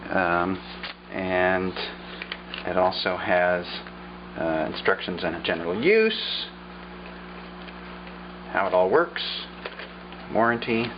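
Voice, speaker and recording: Low-voiced man showing an old radio. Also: takes it slowly, long pauses, dull audio, noisy background. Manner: relaxed, with frequent "uh" and "um". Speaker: vintage radio collector. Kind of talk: casual show-and-tell narration.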